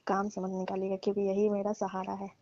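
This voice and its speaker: A woman's voice: mid-range voice, completely flat delivery, fast, dark tone. A woman, pleading deferentially in a private phone conversation. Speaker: domestic worker on a call with employer. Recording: muffled, roomy phone call recording.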